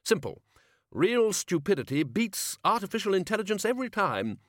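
A bluff, booming old wizard in charge of a university, as a male narrator puts him on. He sounds loud and hearty, and his voice jumps about with plenty of lift. It is recorded close and dry. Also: big pitch swings, normal speed, dry recording.

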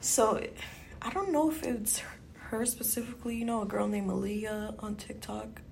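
A woman's voice: medium pitch, fairly even intonation, normal speed, gravelly, darkish tone, slight background noise. A woman from Guyana chatting casually as a podcast co-host, explaining things in an informal way. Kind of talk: casual chat.

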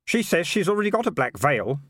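A man's voice: on the high side, very animated, brisk, fairly bright tone, dry recording, clean studio sound, pitched above the performer's own voice. Keith, an earnest worried young man, voiced by a man.

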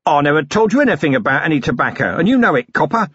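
A man voicing a cowardly, whining small-time male crook who stammers and wheedles in rough working-class speech. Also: a little high, sing-song, very fast, a bit bright, no echo, highs cut off, voice pushed up, full character voice.

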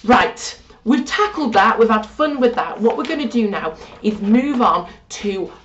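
A woman teaching a religious studies lesson in a chatty, animated way with self-deprecating asides, her voice getting croaky. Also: medium pitch, measured pace, far from the mic, slight background noise. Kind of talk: informal video lesson. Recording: muffled, roomy, from off-mic.

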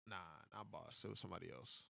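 Man, low gravelly voice, easygoing and opinionated, dry recording. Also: fast talker, sharp tone, no room sound. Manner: laid-back, blunt opinions, swears casually. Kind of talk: casual music chat among friends.

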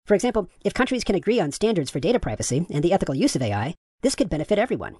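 A man reading the lines of a courteous, gender-neutral AI chatbot, with his voice pitch-shifted upward. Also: sing-song, rapid-fire, a little mellow, breathy edge, no room sound, studio quality, exaggerated voice. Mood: polite, agreeable. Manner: courteous, affirming, asks follow-up questions. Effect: pitch raised.

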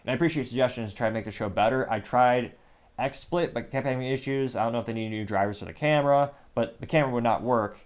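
A man's voice: medium pitch, smooth. Rambling male commentary show host. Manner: rambling, self-correcting, mentions stuttering and speaking fast. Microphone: small USB microphone.

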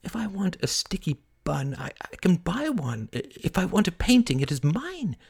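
A man's voice: high-pitched, big pitch swings, normal speed, creaky, husky, pitched above the performer's own voice, heavily acted. A man voicing a naive, earnest young woman in an audiobook, hesitant and polite in manner. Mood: wondering. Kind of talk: audiobook character voice.

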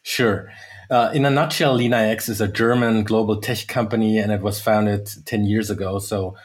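Low-voiced man, measured podcast guest. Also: steady tone. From United States. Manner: measured, many fillers and restarts. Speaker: guest, sales executive. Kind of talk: podcast interview.

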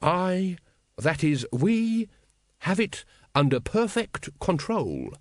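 A scheming cult grand master, voiced by a male audiobook narrator. He is commanding and silky smooth, offering false reassurance. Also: high voice, very animated, slow, no room sound, studio quality, pitched above the performer's own voice, heavily acted. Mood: smooth, assured.

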